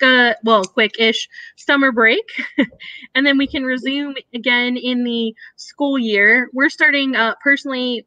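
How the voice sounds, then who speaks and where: A woman's voice: fairly high pitch, bright, forceful tone, no room sound. An American woman in her thirties chatting casually and giggly as she hosts an author event over a video call. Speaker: host, bookseller. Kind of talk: casual chat introducing an author reading. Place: small home office with shelves. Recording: dull, lo-fi video call audio.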